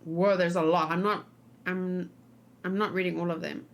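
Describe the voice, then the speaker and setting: A woman's voice: fairly low pitch, fairly even intonation, moderate pace, vocal fry. A woman gaming streamer talking casually and informally, rambling and thinking aloud as she hosts a let's play.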